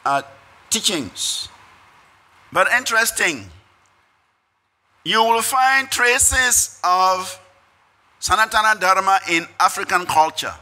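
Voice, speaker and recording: An older man with a Ghanaian accent giving a prepared speech from a lectern in measured, short phrases. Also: sits high, pushed and bright. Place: conference hall stage. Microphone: podium microphones.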